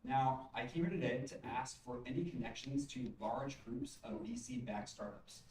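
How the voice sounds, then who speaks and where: A young man presents a prepared pitch as a student founder. He speaks in a presentational style, picked up at some distance in an echoey room. Faint noise sits behind him. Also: sing-song, normal speed, darkish tone. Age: young adult.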